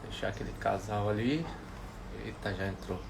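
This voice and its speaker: A man, hosting a morning Bible live stream, relaxed and chatty, often restarting sentences. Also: on the low side, moderate pace, vocal fry, background noise. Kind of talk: casual chat, prayer and Bible commentary. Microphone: phone or webcam for a live stream.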